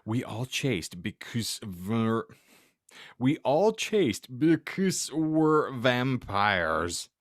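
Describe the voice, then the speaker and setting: A man hosting a podcast, giving a theatrical, deliberately stumbling comic reading of fan fiction. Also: mid-range voice, big pitch swings, no room sound, clean studio sound. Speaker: podcast host.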